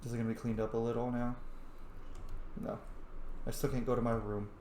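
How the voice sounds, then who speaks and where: A man's voice: medium pitch, little pitch movement, fairly quick, echoey room, background noise. A man giving casual, informal commentary on a game he is playing, with explanatory asides and some swearing. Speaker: gamer commentating a playthrough.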